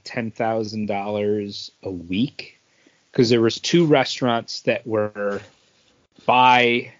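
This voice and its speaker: Low-voiced man chatting, dry dull sound. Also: dry recording. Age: middle-aged. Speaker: podcast co-host. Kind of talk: casual chat about entertainment news.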